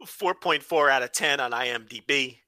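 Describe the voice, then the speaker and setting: A man's voice: mid-range voice, normal speed, bright, forceful tone, dry recording, clean studio sound. A man co-hosting a casual comedy chat about films, joking and swearing as he rambles along. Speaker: podcast co-host.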